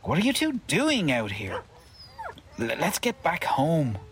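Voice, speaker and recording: Low, worried fantasy villager, played by a woman. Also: wildly swooping pitch, a little slow, heavily acted. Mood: alarmed. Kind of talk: acted audio drama.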